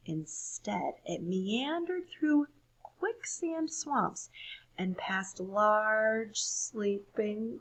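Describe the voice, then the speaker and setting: A woman reading a story aloud for children, expressive and deliberate, pausing to ask listeners questions. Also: mid-range voice, creaky, husky, rough recording. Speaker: storybook reader.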